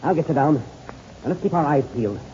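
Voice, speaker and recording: An actor playing a brave, commanding airplane pilot in an old 1930s radio serial, with clipped, urgent delivery. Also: male voice, a little high, animated, brisk, dark tone, lightly acted. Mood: resolute. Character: brave, commanding male airplane pilot, loyal and level-headed. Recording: dull, noisy old radio recording.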